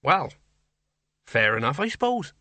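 Man acting officious, slow-witted police sergeant. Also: mid-range voice, expressive, pushed and bright, dry recording. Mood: uncertain. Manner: self-important, slow on the uptake. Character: bulky red-faced male police sergeant, stubborn, officious, easily flustered.

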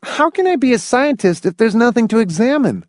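A man's voice: very high-pitched, big pitch swings, brisk, dry recording, clean studio sound, voice pushed up. A man voicing an eager, stubborn young boy in an audiobook, with a complaining, vigorous and belligerent manner. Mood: resentful complaint. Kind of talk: audiobook character voice.

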